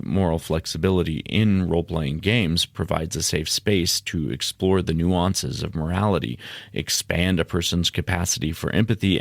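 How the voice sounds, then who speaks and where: A man hosting an educational podcast, explaining in a measured, scripted monologue with formal wording. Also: low-pitched, creaky, no room sound, clean studio sound. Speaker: podcast host.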